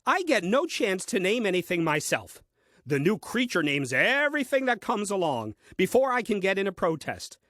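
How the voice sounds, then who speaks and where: A man's voice: high-pitched, big pitch swings, moderate pace, a bit bright, no echo, clean studio sound. A grumbling, put-upon first man. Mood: exasperated, dry. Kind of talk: comic audio play monologue, diary entries.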